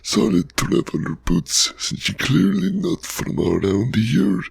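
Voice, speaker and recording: Shopkeeper: man putting on a chatty rustic merchant, higher and gravelly, lively lilt, unhurried. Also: no room sound, heavily acted. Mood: jovial. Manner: homely rustic dialect, jovial salesman patter. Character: folksy, chatty rustic male shopkeeper, secretly scheming. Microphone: close ASMR microphone.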